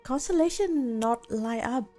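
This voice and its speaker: A woman livestreaming with casual, blunt, expressive reaction commentary over a game. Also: on the high side, measured pace. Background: game music faintly.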